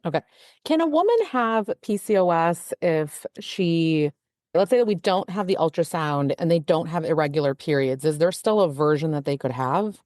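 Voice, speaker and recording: A woman's voice: low-pitched, animated, moderate pace, darkish tone, no echo, studio quality. A woman hosting a podcast who introduces her guest and asks questions in an enthusiastic, effusive way. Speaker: podcast host, physician. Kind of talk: casual interview hosting.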